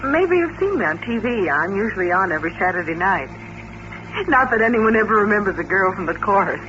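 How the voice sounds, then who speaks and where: A muffled, noisy vintage radio recording of an actress playing a young chorus girl. She is a naive woman with a very lively, swinging intonation, in the manner of 1950s science fiction radio drama. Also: medium pitch, fairly quick, lightly acted. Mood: chatty, open. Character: friendly, naive young female chorus dancer, engaged, uneasy.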